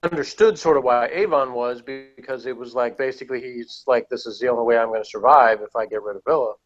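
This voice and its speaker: A man's voice: mid-range voice, steady tone, fast talker, lo-fi sound, soft background music. A man chatting casually as he reviews a TV show, with a blunt, opinionated manner. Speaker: podcast reviewer.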